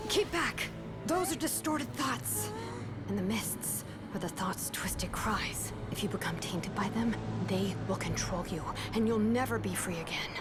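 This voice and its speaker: A calm, capable heroine, as a woman voices her, speaking in a mid-pitched, steady, commanding tone as she gives warnings and counts down. Loud game music plays behind her. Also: unhurried, natural voice. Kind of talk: video game voice acting. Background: game score music.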